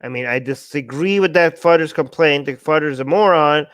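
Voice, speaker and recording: Blunt man arguing, smooth clear voice. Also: a little high, brisk, a bit bright, dry recording. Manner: blunt, argumentative, quotes others in mocking voices. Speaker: co-host. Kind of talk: opinionated political talk.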